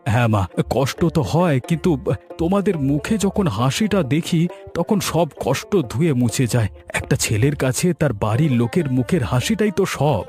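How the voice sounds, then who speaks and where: Man voicing a higher-pitched village fisherman. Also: lively intonation, moderate pace, a little mellow, close to an everyday voice. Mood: earnest, affectionate. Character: hardworking village fisherman, devoted family man. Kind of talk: Bengali moral cartoon dubbing. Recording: music behind the voice.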